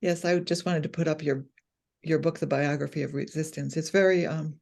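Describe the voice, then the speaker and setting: A woman's voice: low voice, steady tone, brisk, vocal fry, darkish tone. A woman host introducing a guest author and asking interview questions in a friendly manner.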